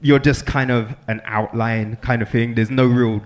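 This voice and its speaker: A man answering questions on stage, hesitant and halting. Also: medium pitch, fairly even intonation.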